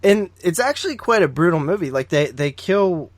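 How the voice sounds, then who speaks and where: A man's voice: fairly high pitch, very animated, normal speed. A man hosting a horror podcast, reviewing films in casual, slangy chat with plenty of swearing. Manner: slangy, swearing, frequent "like" and "dude". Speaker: horror film podcast host.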